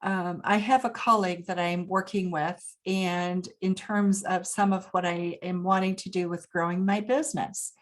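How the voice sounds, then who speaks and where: A woman from Japan speaking as an expert guest, explaining points deliberately and emphatically, posing questions and answering them. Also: on the low side, steady tone. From Japan, grew up there. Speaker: interview guest, business expert. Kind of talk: explanatory interview talk.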